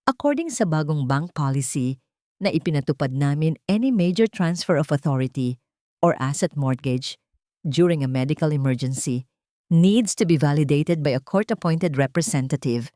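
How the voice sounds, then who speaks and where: A woman's voice: low-pitched, lively intonation, normal speed, dark tone, no echo, clean studio sound. A woman voicing a firm, formal male bank auditor who speaks with unshakeable authority in an audio story.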